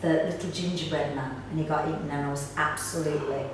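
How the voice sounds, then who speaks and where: Low-voiced woman, echoey room. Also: steady tone, fast. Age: middle-aged. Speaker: pantomime actor. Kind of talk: casual interview chat. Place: small indoor room, seated on sofa. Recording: echoey room, distant mic, faint noise.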